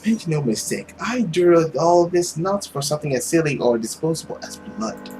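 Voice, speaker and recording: Here is a man acting a tormented vampire villain from a visual novel. He is sneering and theatrical, drawing out his words with very wide swings of pitch. A faint noise sits behind the voice. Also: expressive, natural voice. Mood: bitter, intense. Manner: theatrical, drawn-out, sneering delivery. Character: bitter, anguished male vampire villain, mocking and theatrical.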